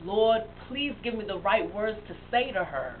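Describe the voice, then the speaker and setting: An actress playing a young woman who haltingly and emotionally confesses a past addiction to her best friend. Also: mid-range voice, animated, close to an everyday voice. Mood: anxious. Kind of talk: dramatic monologue. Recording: tinny phone-like sound with faint hiss.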